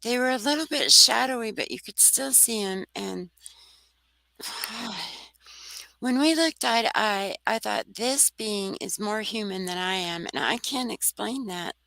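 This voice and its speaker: A soft-voiced woman, a guest on a talk radio show, telling a personal story in an earnest, rambling way. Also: medium pitch, unhurried, vocal fry, no room sound, poor audio. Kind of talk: casual storytelling.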